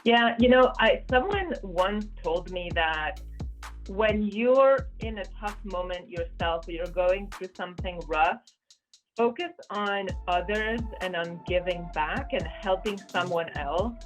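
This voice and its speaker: A woman's voice: medium pitch, lively intonation, unhurried, soft background music, rough recording. Earnest woman guest with lots of fillers. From Poland, raised in France. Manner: frequent fillers and repeated words, earnest. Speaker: guest, business consultant and former volleyball captain. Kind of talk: casual interview conversation.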